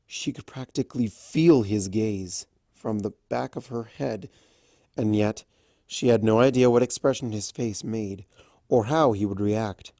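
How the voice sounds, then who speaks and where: A storyteller reading fan fiction aloud as an expressive scripted narration. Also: medium pitch, measured pace, dry recording, clean studio sound.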